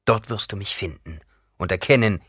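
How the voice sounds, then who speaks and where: A man's voice: lowish voice, normal speed, fairly bright tone, husky, no room sound, clean studio sound. A male narrator voicing a defiant, commanding young man in an audiobook, declaiming with scorn and force. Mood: scornful, defiant.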